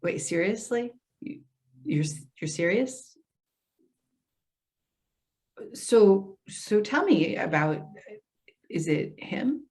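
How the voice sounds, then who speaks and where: A woman playing a patient, gently skeptical social worker in readers theater, measured, encouraging and tentative. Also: mid-range voice, expressive. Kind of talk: readers theater stage acting.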